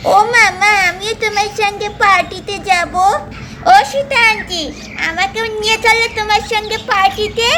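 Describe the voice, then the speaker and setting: A sweet, pleading small child from a doll cartoon , put on by a woman. The voice is extremely high, childlike and a little whiny. The home-made recording is clearly noisy. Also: fairly even intonation, normal speed. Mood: eager, pleading.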